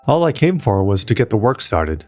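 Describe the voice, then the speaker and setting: A man reading the part of an old, kindly, resigned male archaeologist in an audiobook, gentle and reflective. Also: on the low side, sing-song, moderate pace, faint music behind. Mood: weary.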